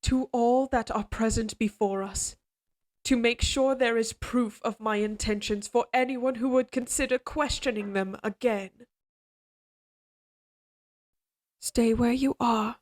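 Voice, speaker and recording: A woman voicing an obsessively devoted, quietly menacing evil queen in an audio drama, speaking in a formal, measured, imperious way. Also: a little high, fairly even intonation, close to an everyday voice. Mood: imperious resolve. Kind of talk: scripted dramatic audio roleplay.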